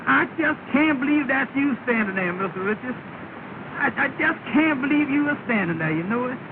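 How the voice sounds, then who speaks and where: An actor playing an earnest, humble young taxi driver from Georgia who talks in an eager, fretful way, on a tinny old film soundtrack. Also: male voice, extremely high pitch, lively intonation, moderate pace, background noise. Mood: sorrowful. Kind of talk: 1930 film comedy acting.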